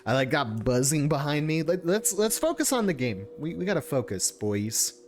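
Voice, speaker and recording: A man's voice: a little high, big pitch swings, normal speed. A man doing casual live-stream game commentary, irreverent, sweary and easily distracted. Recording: faint background noise.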